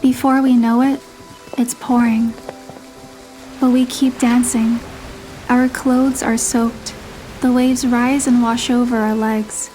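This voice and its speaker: Female narrator, higher register, scripted romantic drama storytelling, music underneath. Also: little pitch movement, slow pace, long pauses, dark tone. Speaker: narrator and voiced player character of a game story. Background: music behind the voice.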